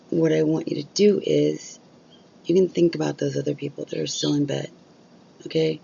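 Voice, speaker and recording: A woman's voice: deep voice, creaky, warm tone, husky, muffled recording. A woman vlogging candidly about chronic illness, blunt and open, swearing freely while losing her voice. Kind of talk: candid casual vlog talk.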